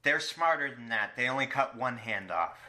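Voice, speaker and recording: A man hosting a podcast in casual chat, speaking in a hesitant, halting way. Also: medium pitch, lively intonation, a crowd in the background. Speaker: podcast host, interviewer.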